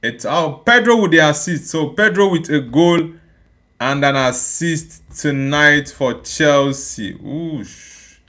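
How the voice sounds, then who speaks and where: A man's voice: a little high, takes it slowly, sharp tone. A young man from Nigeria hosting a live football watchalong, reacting to the match and reading out viewer comments in a casual, repetitive way. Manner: casual, repeats phrases, chats with viewers. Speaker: watchalong streamer.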